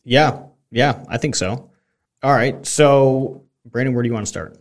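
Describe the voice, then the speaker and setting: A man hosts a relaxed-format interview in a medium-pitched voice. He phrases questions informally and tentatively, with open curiosity. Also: measured pace. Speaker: interviewer, host. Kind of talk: casual interview chat.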